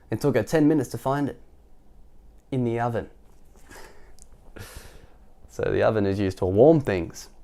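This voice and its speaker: A man's voice: medium pitch, darkish tone. A man with a head cold and sore throat reading a children's picture book aloud, chatty and laughing, going off on little tangents. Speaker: storyteller.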